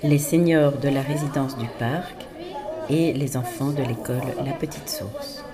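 Low-voiced woman narrating a report. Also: animated, measured pace, a loud crowd. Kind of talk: scripted narration.

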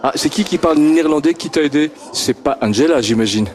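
A man's voice: on the high side, fast, darkish tone. Man, stammering and repetitive, friendly questioning, handheld mic, indoor room with children. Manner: stammering, repeats words, chuckles often. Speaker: interviewer at a homework-help group. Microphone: handheld microphone passed around.

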